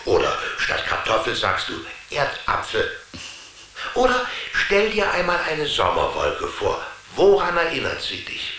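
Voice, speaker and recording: A man's voice: mid-range voice, huge pitch swings, a little slow, bright, forceful tone, heavy reverb, heavily acted. A man playing a witty, patient, know-it-all friend from a television in a German children's radio drama. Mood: patiently explaining. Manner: playful, erudite, patient explaining.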